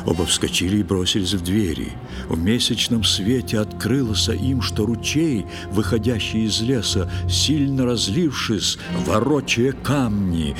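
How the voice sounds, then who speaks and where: A man narrating an audio play in scripted verse, with a theatrical, expressive literary delivery. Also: fairly low pitch.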